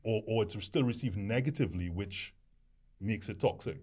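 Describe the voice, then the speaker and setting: A deep-voiced man in casual discussion, rambling with frequent restarts. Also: moderate pace, a little mellow, husky. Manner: rambling, hesitant with many restarts. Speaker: discussion panelist.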